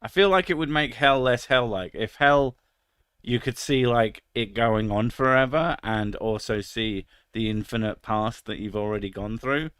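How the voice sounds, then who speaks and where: A man's voice: medium pitch, normal speed, a bit bright, clean tone, no room sound. A British man in his thirties chatting casually on a stream, crude and sarcastic, swearing freely. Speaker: streamer reading chat. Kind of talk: casual live stream chat.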